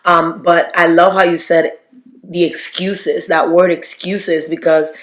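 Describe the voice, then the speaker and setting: A woman's voice: lowish voice, level tone, measured pace. A woman co-hosting a faith podcast, speaking reflectively with frequent restarts. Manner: reflective, often restarts, quotes scripture figures. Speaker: podcast co-host. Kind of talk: faith-based podcast conversation. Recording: roomy echo.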